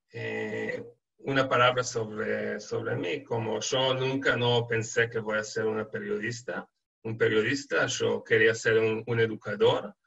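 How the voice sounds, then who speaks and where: A man from Israel speaking with an Israeli accent, giving an online lecture with frequent halting "eh" fillers. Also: fairly low pitch, gravelly, fairly bright tone, slightly rough. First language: Hebrew. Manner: halting, many hesitant "eh" fillers. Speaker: guest speaker. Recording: lo-fi video call audio.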